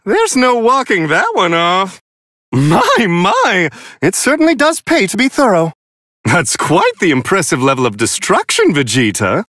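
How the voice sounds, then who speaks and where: High, genteel, swooping angelic fight commentator. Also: male voice, a bit bright, dry recording, clean studio sound, heavily acted. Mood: amused, courteous. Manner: prim, genteel, elongated formal phrasing. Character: refined otherworldly male angel commentating fights with serene, amused courtesy. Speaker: fighting game match commentator. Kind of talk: theatrical video game fight commentary.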